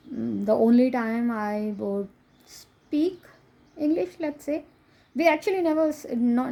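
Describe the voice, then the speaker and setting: A woman's voice: a little high, vocal fry, dark tone, poor audio. A woman from India with an Indian accent, whose first language is Konkani, chatting casually through a coloring book flip-through with frequent laughs. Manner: chatty, laughs often, self-deprecating asides.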